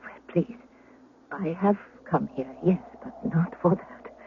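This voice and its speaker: A woman's voice: on the low side, slow, creaky, narrow, tinny audio. An actress playing an elegant, proper married lady, guarded and melodramatic, in a radio drama. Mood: guarded, agitated. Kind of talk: 1970s radio drama acting. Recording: thin, hissy vintage radio recording.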